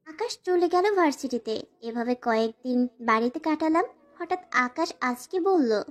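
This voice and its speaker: A woman's voice: high voice, lively intonation, moderate pace. A woman voicing a timid, sorrowful young wife who narrates a dramatized romantic story and pleads submissively. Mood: sorrowful narration. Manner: formal, submissive address to her husband.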